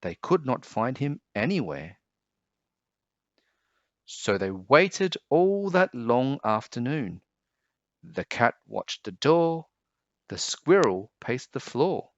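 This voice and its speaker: A man reading a children's storybook aloud in an expressive, dramatic way. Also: mid-range voice, slow, pauses often, no echo, muffled recording.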